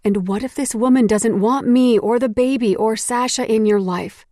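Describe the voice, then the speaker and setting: A woman voicing an anxious young woman in an audiobook, speaking in soft, earnest, pleading lines. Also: fairly high pitch, moderate pace, no echo, studio quality, lightly acted. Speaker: audiobook voice actor. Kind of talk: audiobook dialogue acting.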